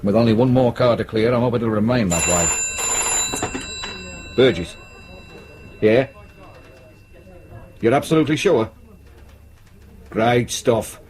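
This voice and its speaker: A British actor playing a dogged, blunt police detective sergeant in a radio drama, with brisk, gruff colloquial talk. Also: male voice, medium pitch, a little mellow, natural voice. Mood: intent, satisfied.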